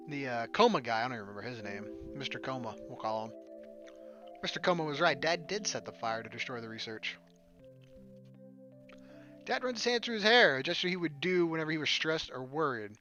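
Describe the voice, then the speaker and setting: A man's voice: a little high, animated, fairly quick, pauses often, over music. A man doing let's play commentary on a game, reading narration aloud and adding jokey, sarcastic asides. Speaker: gaming let's player.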